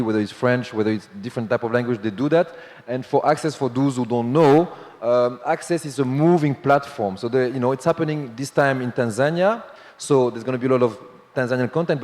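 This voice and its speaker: A man moderating a conference panel, talking in a hurried, loose way with many fillers. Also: mid-range voice, a little mellow. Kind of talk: panel discussion. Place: large conference hall. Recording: long hall reverb.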